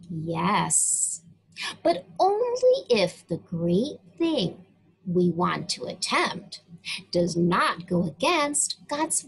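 Slow, sing-song breathy woman storyteller. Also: on the high side, poor audio. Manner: slow, sing-song, addresses children directly. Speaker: children's Bible storyteller. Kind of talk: read-aloud story lesson for kids.

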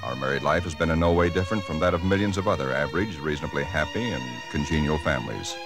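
An actor playing an ordinary, uneasy married man in his thirties, narrating formally and deliberately in a 1940s radio suspense play. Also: rumbling low. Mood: grave, measured. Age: 30s. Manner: measured, formal, deliberate storytelling. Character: ordinary married chemical engineer, sober, uneasy, recounting dread. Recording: 1940s radio broadcast with music behind.

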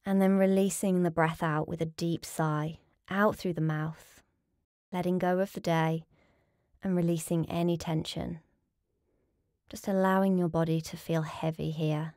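Woman, lowish pitch, yoga nidra teacher, guided meditation, calm and soothing, measured phrases with pauses, dry close recording. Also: no room sound.

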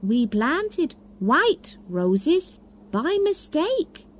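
A woman voicing an anxious playing-card gardener in a children's storybook, fearful and drawn-out. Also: high-pitched, very animated, pitched above the performer's own voice, heavily acted.

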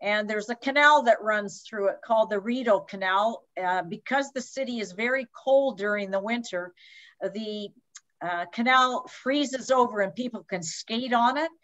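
A woman from Canada giving an online lecture over slides on a video call, speaking in a measured way with frequent hesitations. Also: fairly high pitch, a bit bright. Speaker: guest lecturer. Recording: slightly dull video-call audio.

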